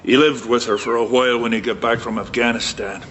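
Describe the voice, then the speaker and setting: A man's voice: medium pitch, fairly even intonation, a little fast, fairly bright tone, lightly acted. An actor with a Scottish accent playing a bitter, wary man in a naturalistic radio drama. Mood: troubled. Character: bitter, wary man discussing his violent war-damaged cousin. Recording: muffled, with clear background noise.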